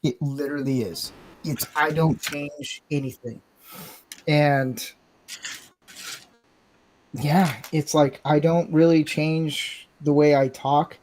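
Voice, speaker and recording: A man's voice: on the high side, steady tone, takes it slowly, pauses often, darkish tone, low-quality recording. A man hosting a casual live podcast, talking bluntly and rambling with self-mocking humor. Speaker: podcast host. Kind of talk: casual live-stream chat.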